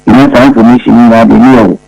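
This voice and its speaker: A man's voice: medium pitch, flat delivery, very fast, creaky, darkish tone, faint music behind, low-quality recording. A man from Ghana with a Ghanaian accent, giving his account as an interviewee. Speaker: interviewee, party organizer.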